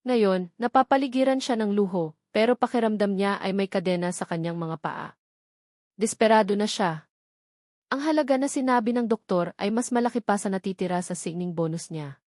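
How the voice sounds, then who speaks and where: A woman's voice: medium pitch, normal speed, a little mellow, no room sound, clean studio sound. A woman reads a scripted romance story as its narrator, in a dramatic storytelling style.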